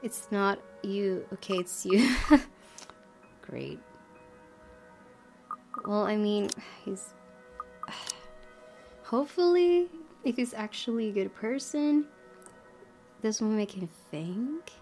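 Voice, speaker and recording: A woman's voice: mid-range voice, animated, extremely slow, long pauses, a little mellow. A woman hosting a gaming video, switching between casual commentary and reading the game text aloud. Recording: game music behind the voice.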